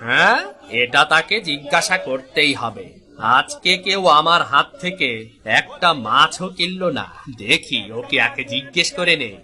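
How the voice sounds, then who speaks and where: A man's voice: fairly high pitch, expressive, a little slow, bright, forceful tone, exaggerated voice. A man voicing a greedy, envious, suspicious fisherman in a cartoon. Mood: envious, curious. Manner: suspicious, questioning. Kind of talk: Bengali cartoon voice acting.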